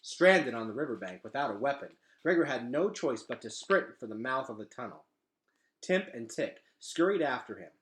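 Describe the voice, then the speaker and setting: A man reading a children's novel aloud in an expressive, dramatic storytelling style. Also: mid-range voice. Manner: animated, dramatic delivery.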